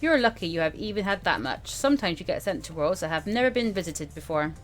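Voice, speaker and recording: A woman's voice: fairly low pitch, very animated, quick pace. Woman reading as a dry, sardonic male mentor. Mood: lecturing. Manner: dry, lecturing. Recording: music behind the voice.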